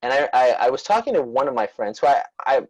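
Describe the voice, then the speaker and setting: A man's voice: mid-range voice, sing-song, fast talker, darkish tone, dry recording, highs cut off. A man from Queens, New York, chatting casually as a musician guest in an interview over a video call. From born in former Soviet Union, raised in Queens, New York.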